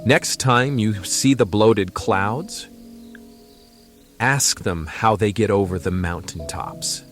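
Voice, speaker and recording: An actor playing a calm, wise traveler from the mountains in a fantasy audio drama, with a gently solemn, philosophical manner. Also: male voice, on the low side, takes it slowly, faint music behind.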